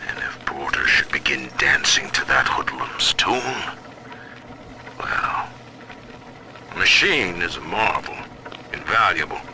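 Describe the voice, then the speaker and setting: Game villain: imperious middle-aged tycoon, low-voiced and sweeping in intonation, pompous menace, on a noisy old diary recording. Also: male voice, very animated, heavily acted. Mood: suspicious, threatening. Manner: pompous, deliberate, menacing formal diction. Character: stern, proud, autocratic male tycoon ruling his own city. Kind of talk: dramatic recorded diary monologue, formal oratory.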